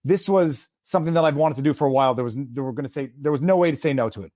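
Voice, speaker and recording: A man's voice: mid-range voice, racing, mellow, no echo, clean studio sound. A man from Quebec hosting a livestream interview, chatty and rambling, firing off many questions. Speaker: host.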